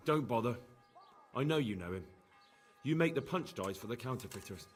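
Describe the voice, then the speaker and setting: A young medieval investigator in a video game. He speaks in a plain, direct, mid-pitched voice, earnest and probing, with faint music behind him. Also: lively intonation, moderate pace, slightly rough. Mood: accusing, firm. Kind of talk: scripted game dialogue acting.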